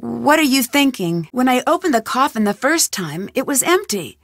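A woman's voice: high-pitched, sing-song, moderate pace, fairly bright tone, no echo, studio quality, full character voice. An actress voicing a curious, determined young female amateur detective in a video game, asking earnest questions. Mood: puzzled. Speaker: game protagonist. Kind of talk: studio video game voice lines.

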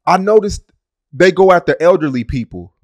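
A man's voice: sits high, animated, normal speed. A man interviewing a guest, asking casual, slangy questions.

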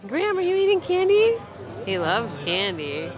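A young woman filming her toddler at an airport gate, switching between high sing-song baby talk and calm asides to the camera. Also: normal speed, a crowd in the background. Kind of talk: casual home video narration. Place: busy airport gate area, indoors. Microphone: handheld camera mic. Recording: noisy handheld camera audio in an airport. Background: airport noise and announcements.

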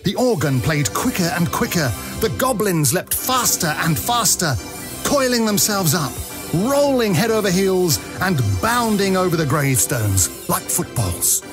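A man's voice: high voice, very animated, unhurried, music in the background. A man, narrating a story in a dramatic storybook style.

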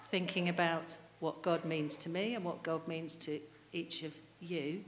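Low-voiced woman preaching in a church. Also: steady tone, unhurried. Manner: earnest, asks the congregation questions. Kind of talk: sermon. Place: church, indoors, at a lectern. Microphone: lectern mic. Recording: reverberant church hall, light hiss.

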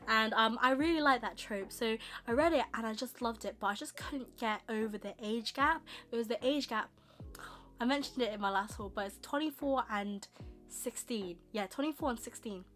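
A young woman with a slightly husky voice from a tickly throat, rambling casually through a manga haul in her bedroom. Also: on the high side, a bit bright, faint music behind. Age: young adult. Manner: rambling, chatty, quick asides. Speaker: manga vlogger. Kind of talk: casual chatty haul vlog. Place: bedroom with manga shelves, indoors.

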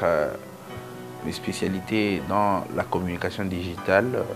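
A man's voice: fairly low pitch, gravelly. A man in his thirties speaking formally about his studies and career, with frequent hesitations. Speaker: interviewee. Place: indoors, office.

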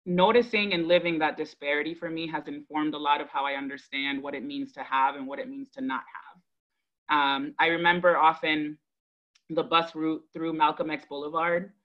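A woman's voice: deep voice, level tone. Woman in her 30s sharing her story on a video call, earnest n thoughtful, kinda dull lo-fi audio. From Dominican Republic, raised in Boston from age five. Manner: earnest, measured, thoughtful with deliberate pauses. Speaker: guest speaker, education advocate. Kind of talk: reflective personal storytelling to an online audience.

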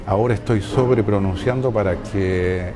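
A man in his sixties with a Chilean accent, talking playfully and with many hesitations in a relaxed interview on an outdoor city balcony. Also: lowish voice, normal speed. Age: 60s. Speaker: interviewee, filmmaker.

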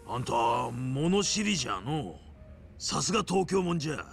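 A man's voice: a little high, big pitch swings, normal speed, faint music behind. A man playing a blunt, anxious yakuza with a Hiroshima accent in a video game drama.

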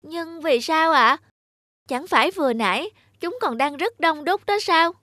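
A woman voicing a curious, naive young sprite child, earnest and respectful, in an animated fable. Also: high-pitched, measured pace, no echo, clean studio sound, full character voice. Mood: puzzled.

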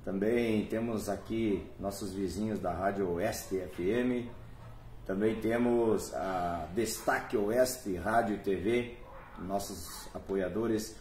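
A man hosting a live music stream, warmly thanking viewers by name in a casual, chatty way. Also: fairly low pitch, slow. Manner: warm, chatty, reads out viewer names and thanks them. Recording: echoey room from far off, faint noise.

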